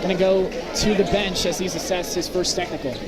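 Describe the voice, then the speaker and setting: A man's voice: high-pitched, steady tone, fairly quick. A man doing live basketball play-by-play as the announcer, in short, clipped calls. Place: press booth at an indoor basketball game. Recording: very loud crowd and gym noise. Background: loud crowd, bouncing basketballs.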